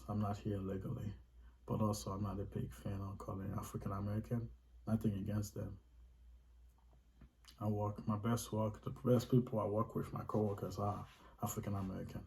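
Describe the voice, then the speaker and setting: A man's voice: lowish voice, mellow, poor audio. A man with a Haitian accent, earnestly explaining his faith in casual talk, restating his points for emphasis.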